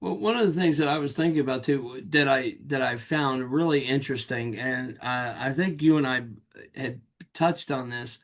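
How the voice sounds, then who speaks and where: Animated man co-hosting a podcast. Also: mid-range voice. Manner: animated, self-mocking, quick asides. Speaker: podcast co-host. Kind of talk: casual podcast chat.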